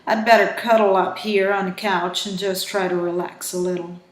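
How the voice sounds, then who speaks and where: A woman with a Brazilian Portuguese accent voicing the tired inner thoughts of a weary young woman after a nightmare, quiet in manner. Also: medium pitch, steady tone, moderate pace, natural voice. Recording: roomy echo.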